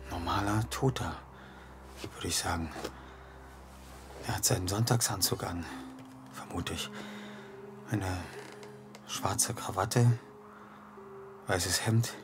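A dog-tired, nervous man in a thriller audio drama. His low voice often sinks toward a breathy whisper as he grumbles wryly and haltingly. Music plays clearly underneath. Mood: uneasy, halting. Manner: hushed, halting, wry.

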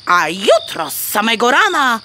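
A woman voicing a bossy, sharp-tongued hen commander in a children's audio play, clucking between commanding phrases. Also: on the high side, wildly swooping pitch, a little slow, a little hiss or hum, exaggerated voice. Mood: businesslike. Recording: faint outdoor cricket ambience.

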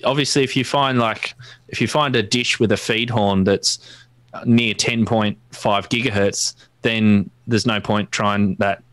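A man's voice: fairly low pitch, fairly even intonation, unhurried, a bit bright, no echo. An Australian man in his thirties explaining technical things casually as a guest expert on a livestream, close on a studio mic. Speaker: guest ham radio expert. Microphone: close studio mic on boom arm, with headphones.

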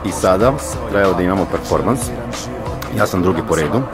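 A man's voice: low voice, fast talker. A young man from Serbia chatting casually into a camera as he vlogs. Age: young adult. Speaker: vlogger. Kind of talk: casual vlog chat. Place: ship backstage room. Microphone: camera at arm's length. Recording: loud music behind voice.

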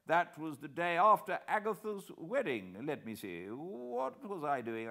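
A man voicing a flustered elderly English gentleman who talks in a hesitant, stammering way. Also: exaggerated voice. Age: older. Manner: hesitant, stammering, high and quavering. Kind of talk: audiobook character voice.

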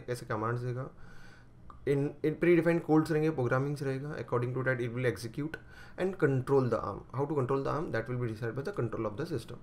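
A man's voice: medium pitch, darkish tone. A man with an Indian accent giving an explanatory video lecture, switching between languages and repeating phrases as he goes through slides.

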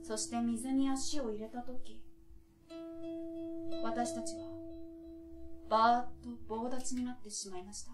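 A woman in her twenties giving a theatrical, expressive dramatic recitation of a literary story. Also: on the high side, unhurried, pauses often. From Tokyo, Japan. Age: 20s. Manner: theatrical, expressive delivery, acting out children's dialogue. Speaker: stage storyteller. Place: small indoor stage. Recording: echoey stage room with live music. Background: plucked harp music.

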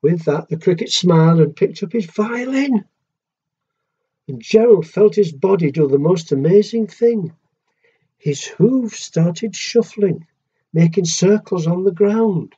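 Slow, expressive older male storyteller. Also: sits high, husky, muffled recording. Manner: expressive, drawn-out delivery with asides to children. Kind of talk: reading a children's picture book aloud. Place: small wooden shed, indoors.

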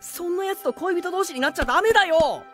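A woman playing a flustered, earnest, good-natured teenage boy in an anime audio drama, with stammering, excitable delivery. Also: extremely high pitch, quick pace. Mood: shocked. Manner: stammering, excitable, reacts in outbursts. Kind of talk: Japanese anime drama CD acting. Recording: faint music behind the voice. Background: faint background music.

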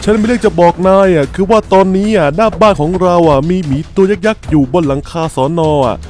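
A man doing animated, colloquial improvised roleplay for a game video, full of exclamations. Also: high-pitched, brisk, faint music behind. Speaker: creator voicing characters in a Minecraft roleplay video.

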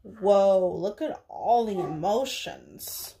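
A young woman, a preschool teacher, speaking to small children in a deliberate read-aloud style with drawn-out words. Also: medium pitch, expressive, creaky, warm tone, slight background noise. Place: small indoor room.

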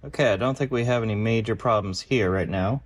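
A man in his thirties narrates a restoration job in a roomy indoor workshop. He speaks in an even, methodical way, calm and practical. The sound is a camera mic in a garage. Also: fairly low pitch, brisk. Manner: calm, methodical, matter-of-fact. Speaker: car restoration video host. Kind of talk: explanatory workshop walkthrough.

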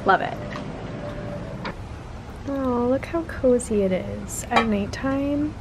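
A young woman with a slightly high voice talks casually to a handheld camera, thinking out loud and dropping "like" often. The recording picks up loud ambient noise around her. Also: slow. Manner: chatty, thinking out loud, filler "like". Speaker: lifestyle vlogger. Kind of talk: casual vlog chat to camera. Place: apartment balcony, car and kitchen.

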